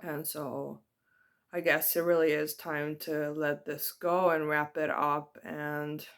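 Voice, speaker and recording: A woman giving a personal spiritual reflection to her viewers. She speaks in a hesitant, soft-spoken and heartfelt way, with many ums. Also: sits low, level tone, slow pace. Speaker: spiritual vlogger.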